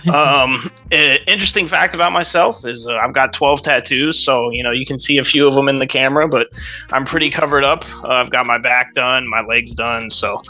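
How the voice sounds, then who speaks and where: A man's voice: medium pitch, fairly quick, pushed and bright, faint music behind. A man in his late twenties from the western United States, chatting casually as an interview guest over dull lo-fi audio. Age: late 20s. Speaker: podcast guest.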